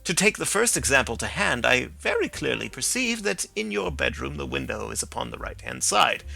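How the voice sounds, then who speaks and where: A man reading aloud as a sharp, confident middle-aged detective who lectures with precise, assured reasoning. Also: mid-range voice, huge pitch swings, moderate pace, gravelly, faint music behind, exaggerated voice.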